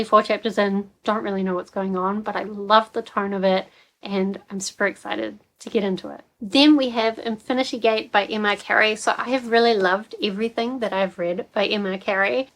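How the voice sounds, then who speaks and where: A woman's voice: mid-range voice, low-quality recording. A young woman with a New Zealand accent, chatting casually about books in a rambling, filler-heavy way. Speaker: book vlogger. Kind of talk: casual book chat.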